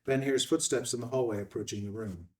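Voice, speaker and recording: A man's voice: medium pitch, brisk, a little mellow, recorded from a distance. A man reading out stage directions as scripted narration at a film table read.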